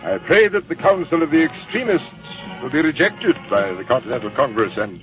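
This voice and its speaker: A man's voice: on the high side, expressive, brisk, close to an everyday voice. A man acts out a haughty commander in a vintage radio play. The recording is dull and aged. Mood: stern, imperious. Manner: pompous, drawling rhetorical hesitations and repetitions. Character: haughty, confident male British general commanding redcoats. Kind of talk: old-time radio drama acting. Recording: muffled vintage radio recording, music behind.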